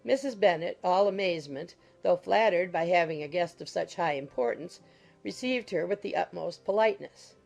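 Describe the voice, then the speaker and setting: A woman's voice: fairly low pitch, normal speed, creaky, darkish tone, faint music behind. A woman reading scripted literary narration as an audiobook narrator.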